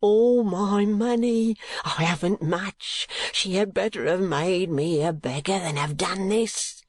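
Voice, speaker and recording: A shrill, frantic old miser wails and gasps in ruin,. His voice leaps high and cracks into hushed whispers. The sound is close and dry. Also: animated, measured pace, no room sound. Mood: frantic, wailing. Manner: screeching, whispering in panic.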